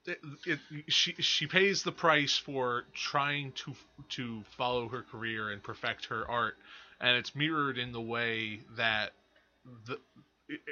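A man's voice: mid-range voice, slow pace, sharp tone. A man co-hosting a movie podcast, casually analysing films and often correcting himself as he goes. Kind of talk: casual film discussion. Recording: slightly dull, lo-fi podcast audio.

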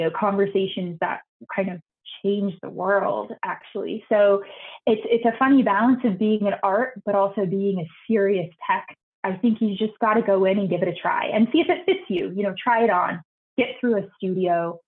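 A young woman architect answering interview questions on a video call, earnest and quick to repeat her words, her voice going high when she gets excited. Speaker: architect guest. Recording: tinny call audio.

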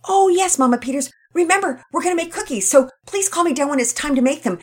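A woman voicing a cheerful, eager young girl in an audio story, speaking in a bubbly, excited way. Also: sits high, fast, voice pushed up.

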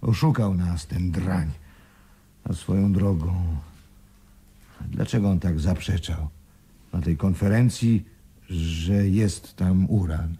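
An actor playing a bitter, grumbling man who feels swindled, in a radio play, with a husky voice. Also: sits low, warm tone, a little hiss or hum. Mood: resentful. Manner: grumbling, drawn-out. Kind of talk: Polish radio play acting.

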